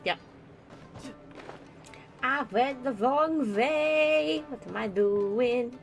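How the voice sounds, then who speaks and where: A woman's voice: high voice, extremely slow, vocal fry, faint music behind. A woman giving casual let's play commentary as a gaming streamer, chatty, irreverent and sweary.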